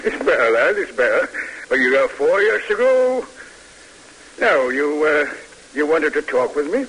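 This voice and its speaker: Slow, musing old colonel. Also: male voice, on the high side, very animated, fairly bright tone, rough recording. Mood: stern. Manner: halting, musing, old-fashioned formal speech. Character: gruff, fond, reflective old army colonel father. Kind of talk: 1950s radio drama acting. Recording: hissy vintage radio recording.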